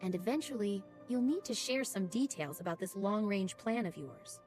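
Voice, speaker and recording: An actress playing an earnest, determined young adventurer in a fantasy video game, speaking matter-of-factly. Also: female voice, medium pitch, animated, moderate pace, a little mellow, faint music behind, natural voice.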